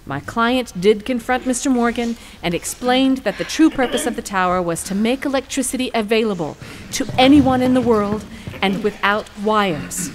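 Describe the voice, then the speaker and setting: A woman playing a determined attorney in an audio courtroom drama, speaking formally and deliberately. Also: on the high side, slight background noise, natural voice. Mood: formal, assured. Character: poised, determined female attorney arguing in court.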